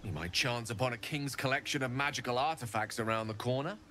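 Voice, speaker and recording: An actor playing an eloquent, self-deprecating wizard in a video game, speaking in a theatrical, articulate way. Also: male voice, medium pitch, fast talker, slight background noise, close to an everyday voice. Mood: grave. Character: wizard man, eloquent, wry, melancholy. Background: soft game music.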